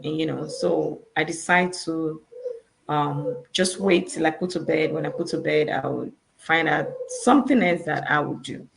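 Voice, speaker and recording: A woman's voice: deep voice, gravelly, low-quality recording. A woman with a Nigerian accent, a guest on a video-call interview, telling her story in a chatty way with many fillers and restarts. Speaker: guest entrepreneur.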